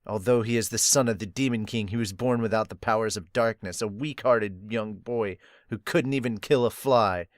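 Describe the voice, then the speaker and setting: A brooding demon king of untold age, read by a man. He speaks slowly and gravely, in a low, somber voice. The sound is dry and close. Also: no room sound, natural voice. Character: ancient grim male demon king ruler.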